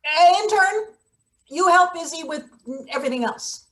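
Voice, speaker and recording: A woman's voice: very high-pitched, animated, normal speed, fairly bright tone. A woman acting a brusque, scheming, cynical maintenance boss in a read-through of a satirical stage play. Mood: brusque, bossy. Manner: bossy, clipped, sardonic.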